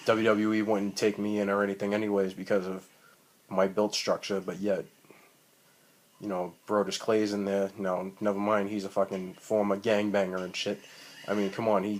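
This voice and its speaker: A man's voice: lowish voice, a little hiss or hum. A man, rambling profanely and full of ums as he talks about wrestling into a tablet mic. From Boston, Massachusetts. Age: 30s. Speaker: amateur wrestler. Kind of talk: rambling self-recorded vlog. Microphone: tablet built-in mic.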